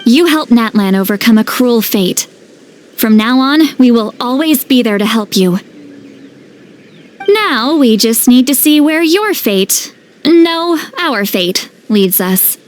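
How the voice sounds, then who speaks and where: A woman's voice: fairly high pitch, lively intonation, slow pace, slight background noise. A woman voicing a young female warrior in a video game, encouraging, sincere and loyal.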